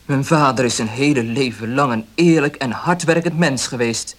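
An actor in a Dutch radio play as a wary baker, speaking directly and guardedly. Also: male voice, mid-range voice, normal speed, close to an everyday voice. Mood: guarded, firm. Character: wary, blunt baker defending his honest father.

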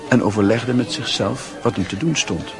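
A man acting as the measured literary storyteller of a Dutch radio drama. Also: on the low side, lightly acted. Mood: reflective. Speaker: narrator. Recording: music and crowd murmur behind.

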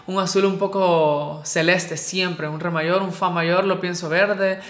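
A woman's voice: lowish voice, fairly even intonation, takes it slowly, fairly bright tone. A woman talking casually and reflectively about her own experience. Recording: roomy echo with music underneath. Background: music.

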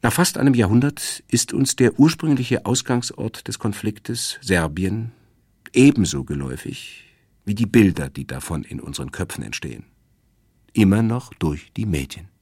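A man delivering a scripted literary radio essay as a presenter, in a measured, emphatic and erudite manner. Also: fairly low pitch, expressive, darkish tone, slightly rough, dry recording, studio quality. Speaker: radio presenter introducing a radio play.

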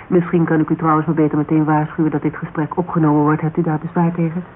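An actor plays a courteous but probing Dutch man conducting a formal interview in a radio drama. His voice sits a little high and his questions are measured. It sounds like a thin, band-limited old radio recording with some hiss. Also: level tone, narrow, tinny audio, close to an everyday voice. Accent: Dutch, Netherlands. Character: polite, probing male interviewer, formal and discreet. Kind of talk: naturalistic radio drama dialogue.

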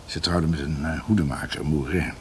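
An actor playing an older doctor in a radio drama, telling family history in a halting, ruminative, emphatic way. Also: male voice, rumbling low, steady tone, darkish tone, slight background noise. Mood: confiding, deliberate. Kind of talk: radio drama acting, conversational storytelling.

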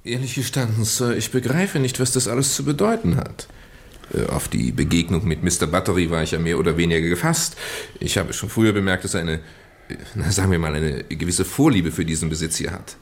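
An actor playing an evasive, indignant young English aristocrat of about thirty in a German radio drama, haughty yet hesitant. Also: male voice, deep voice, animated, brisk. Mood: puzzled, guarded. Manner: haughty, hesitant, hedging with polite formality.

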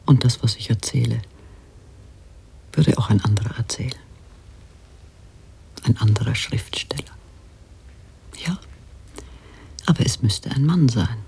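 An actor playing a musing author, an inner narrating voice, hushed and often half-whispered, in a literary radio play. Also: male voice, medium pitch, a little slow, gravelly, long pauses, breathy edge, exaggerated voice. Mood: reflective.

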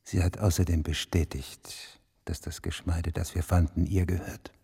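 A man playing a stern, regretful official in a German radio drama, with a gravelly, husky voice. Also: low voice, steady tone, moderate pace, dark tone, full character voice. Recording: long hall reverb.

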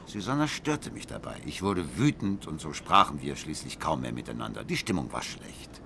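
An actor playing a brooding, obsessive husband who narrates a radio drama with cold, deliberate, intense precision. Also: male voice, a bass voice, big pitch swings, vocal fry, background noise, full character voice. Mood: brooding, controlled. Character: brooding, obsessive husband coldly recounting his grim plan. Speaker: first-person narrator of an audio drama. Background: music.

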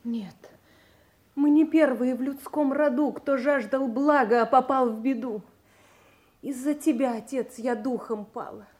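An actress playing a noble young captive princess, a devoted daughter, speaking with earnest, mournful classical delivery in an audio drama. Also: female voice, high voice, steady tone, unhurried, darkish tone. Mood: sorrowful, dignified.

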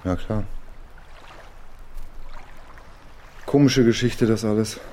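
An actor playing a naive-seeming, quietly sly amateur sleuth in a German radio crime drama, talking in a halting, colloquial way. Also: male voice, fairly low pitch, slight background noise, close to an everyday voice. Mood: reflective. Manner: colloquial, halting, mock-casual.

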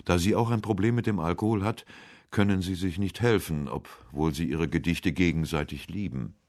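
Male narrator for a literary radio documentary: extremely deep voice, measured and serious delivery. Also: a little mellow.

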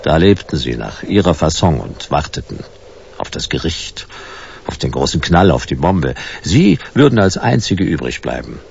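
An actor playing a wry, laid-back private detective who narrates a radio play in dry, clipped, ironic phrases. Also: male voice, rumbling low, sing-song, moderate pace, creaky, a little mellow, dull audio, slight background noise, exaggerated voice. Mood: dry narrating. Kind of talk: radio play, first-person noir narration.

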